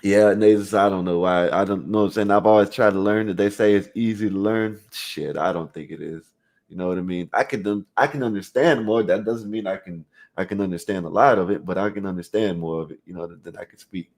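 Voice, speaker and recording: A man with a very low, deep voice hosts a casual call-in stream. He reads the chat aloud and talks straight to viewers in loose slang, rambling and restarting his sentences. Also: a little fast, a little mellow. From Florida, US. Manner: loose street slang, frequent "you know what I mean" fillers. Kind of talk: casual chat reading out live chat.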